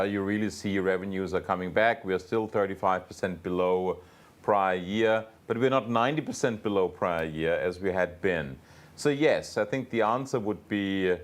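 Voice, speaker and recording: A man speaking as a company executive, answering investor questions off the cuff in a halting, emphatic way with repeated words. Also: sits low. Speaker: company executive on an earnings call.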